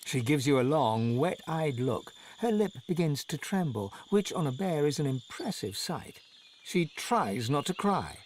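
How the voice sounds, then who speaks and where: A man acting as the narrator of a fantasy game, telling scenes in an expressive storybook style. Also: a little high, measured pace, slight background noise. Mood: gentle, wry. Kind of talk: scripted second-person narration.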